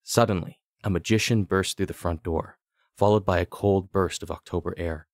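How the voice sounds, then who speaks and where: A man narrating a scripted fantasy audiobook with deliberate, dramatic storytelling. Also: low-pitched, fairly even intonation, dry recording, clean studio sound.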